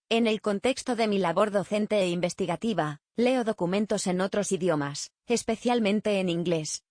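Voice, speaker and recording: A woman reading written answers aloud in a plain, steady way. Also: mid-range voice, no echo, clean studio sound. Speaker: reader of survey answers. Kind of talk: scripted reading.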